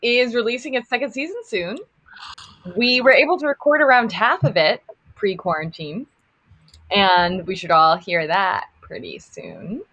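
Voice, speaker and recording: A woman's voice: mid-range voice, unhurried, pushed and bright. A woman chatting casually as a panel guest about a project she acts in. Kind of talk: casual chat, plugging a project. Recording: dull, lo-fi call audio.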